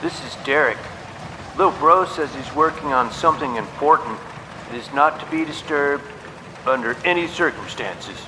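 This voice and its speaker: A man's voice: a little high, normal speed, long reverb tail, noisy background, lightly acted. A man playing an offhand, laid-back teenage older brother in an audio drama. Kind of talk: scripted audio drama acting.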